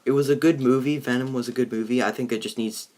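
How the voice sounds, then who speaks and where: A man's voice: mid-range voice, fairly even intonation, darkish tone. A young man hosting a casual film discussion, feeling his way through sentences and rephrasing as he goes. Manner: halting, rephrasing often. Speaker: host, video reviewer.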